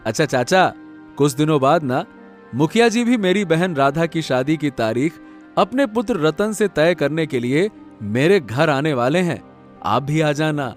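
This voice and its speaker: Kind, trusting village farmer for a cartoon tale, played by a man; higher register, slow, sincere. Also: animated, faint music behind. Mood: friendly. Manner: good-natured, earnest. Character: kind, trusting young farmer, generous older brother.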